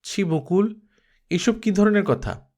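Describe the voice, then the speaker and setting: A man's voice: sits high, expressive, slow, a little mellow, pitched above the performer's own voice, heavily acted. A man voicing a fretful, prying mother in a storybook reading, with a nagging, questioning manner. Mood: shocked. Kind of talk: Bengali audiobook character acting.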